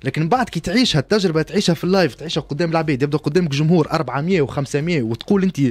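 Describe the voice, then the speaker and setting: A Tunisian man in his thirties chats casually as an interview guest, talking very fast in a fairly high voice. He repeats phrases to press a point and slips in French. The studio sound is dry and close. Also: dry recording. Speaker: comedian guest. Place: radio studio. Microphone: close studio microphone on arm.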